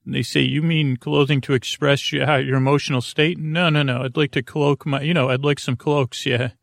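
A man with a creaky, gravelly voice talks in a flat, even murmur, drifting off on tangents and interrupting himself. He hosts a bedtime podcast and offers gentle reassurance. The sound is dry and close, with faint music underneath. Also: normal speed, dry recording. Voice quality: creaky, low-key delivery. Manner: rambling, self-interrupting, goes off on tangents. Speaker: sleep podcast host. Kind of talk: meandering bedtime monologue.

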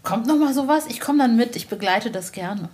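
A woman's voice: on the high side, animated, moderate pace, a little mellow, breathy edge. A woman interviewing a guest on a podcast in an informal, enthusiastic, chatty way. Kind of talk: casual chat.

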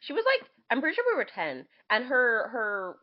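A young woman co-hosting a podcast in casual chat, animated and sarcastic with mock indignation. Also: on the high side, normal speed, highs cut off. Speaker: podcast co-host.

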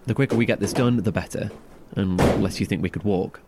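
Casting: male actor for a gritty, protective survivor in horror audio drama, lowish voice, terse and sweary, noisy field recording. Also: brisk, close to an everyday voice. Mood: practical, calm. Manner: naturalistic, terse, sweary, wryly self-deprecating.

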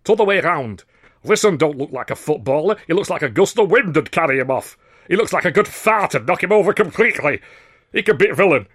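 A man voicing a loud, boorish, burly male comedy star, barking and sneering. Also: on the high side, expressive, brisk, breathy edge, pitched above the performer's own voice. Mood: bossy.

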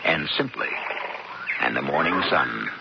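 A grave western storyteller with an extremely deep, gravelly voice. He narrates dramatically on a muffled radio broadcast buried in loud noise. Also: lively intonation, a little slow, full character voice. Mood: solemn.

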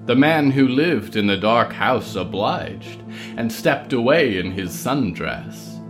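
A man narrating a literary fable in a deliberate, dramatic storytelling manner. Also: mid-range voice, expressive, over music.

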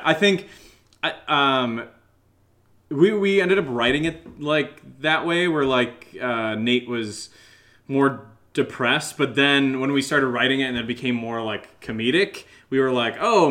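A man co-hosting a podcast, chatting casually in a teasing, jokey, self-deprecating way and getting animated. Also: mid-range voice. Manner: animated, gets louder. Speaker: co-host of a horror audio drama podcast, answering listener questions. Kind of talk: casual comedic chat.

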